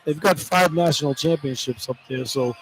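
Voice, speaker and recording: A man's voice: on the high side, normal speed, creaky, background noise. A man working as a radio color analyst, chatting informally and repeating himself in live sports commentary from an arena. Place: inside a basketball arena, broadcasting live.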